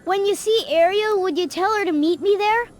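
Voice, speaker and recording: Very high, friendly young creature. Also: female voice, normal speed, soft background music. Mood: grateful, cheery. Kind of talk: animated game voice acting.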